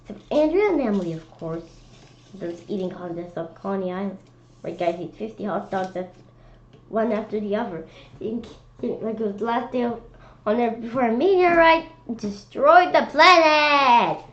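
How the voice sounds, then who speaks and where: A woman reading a children's story aloud in an expressive, animated way, occasionally stumbling over words. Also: fairly high pitch, moderate pace. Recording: dull lo-fi sound with clear background noise.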